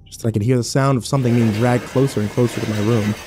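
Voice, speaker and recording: A man's voice: medium pitch, steady tone, fast, background noise, close to an everyday voice. An actor playing a weary, irritable hospital patient who tells his story in a sullen, sardonic first-person horror narration. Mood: weary, brooding.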